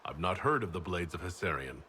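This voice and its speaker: A man playing a composed, earnest fantasy hero in a video game, measured and inquisitive. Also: low-pitched, expressive, bright, forceful tone, a little hiss or hum. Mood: formal, questioning.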